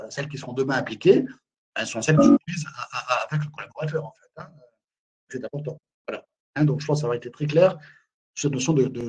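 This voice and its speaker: Husky-voiced man leading a webinar. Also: on the high side, a little mellow. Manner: emphatic, repeats words, frequent "en fait, hein" fillers. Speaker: HR consultant presenting a webinar. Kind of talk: live webinar talk on management.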